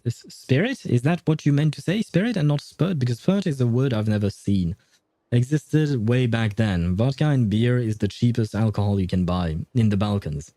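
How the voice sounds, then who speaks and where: A man's voice: mid-range voice, warm tone, dry recording. A young man chatting casually on a game livestream, thinking aloud and laughing often as he reads and reacts. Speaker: gaming livestreamer.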